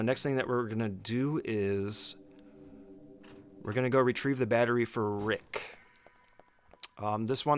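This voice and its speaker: A man's voice: mid-range voice, a bit bright. A man giving a casual, step-by-step speedrun tutorial as commentary, speaking informally and giving short instructions.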